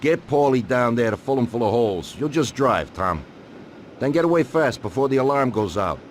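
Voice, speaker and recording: A calculating mafia boss, acted by a man for a game cutscene. He has a medium-pitched male voice and lays out orders with bitter, cold authority. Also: animated, moderate pace, slight background noise, close to an everyday voice. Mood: businesslike, firm. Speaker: video game voice actor. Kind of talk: dramatic cutscene acting.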